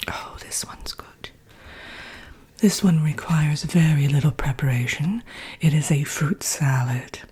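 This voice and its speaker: A woman playing a haughty, wry evil queen villainess who teaches a workshop in a soft-spoken, whispered ASMR roleplay. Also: low-pitched, slightly rough, exaggerated voice. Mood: instructive, soft. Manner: haughty, wry, hesitant asides, deliberate.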